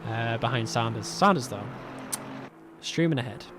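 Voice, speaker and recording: A young man commentating a sim race alone, chatty and self-mocking, laughing often, his voice going a little hoarse. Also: mid-range voice, lively intonation, normal speed, background noise. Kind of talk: solo sim racing commentary. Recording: game car sounds behind.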